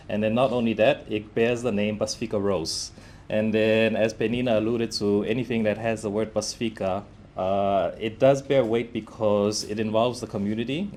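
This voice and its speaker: A man's voice: on the low side, darkish tone. A man giving informal opening remarks as a company manager at a live event. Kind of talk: informal public speech. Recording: live event with background noise.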